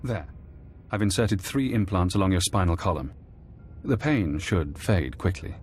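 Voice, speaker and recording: A man playing a calm, precise intelligence handler in a scripted game cutscene, speaking in a measured, detached way. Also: low voice, slight background noise. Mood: brisk, detached. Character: calm, clinical male intelligence handler and tech expert.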